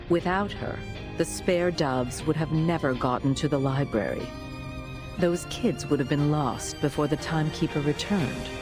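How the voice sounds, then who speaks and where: A woman's voice: low-pitched, natural voice. An actress playing a composed, authoritative female official in a video game, speaking formally and decisively. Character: composed, authoritative senior official, a woman in command. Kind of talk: dramatic video game voice acting. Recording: music under the voice. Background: background music.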